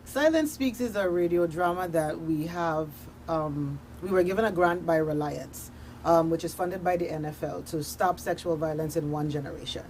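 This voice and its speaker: Lady advocate being interviewed, lowish voice, emphatic explaining, slight noise on it. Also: moderate pace, a little mellow. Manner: emphatic, listing points in parallel phrases. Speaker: victim advocate, interview guest. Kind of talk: explanatory radio interview talk.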